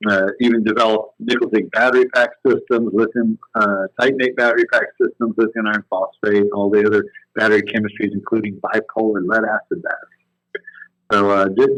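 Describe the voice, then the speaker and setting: A man telling his company's history as a phone-in podcast guest, in a relaxed, rambling way. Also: medium pitch, no room sound, highs cut off. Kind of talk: casual storytelling interview.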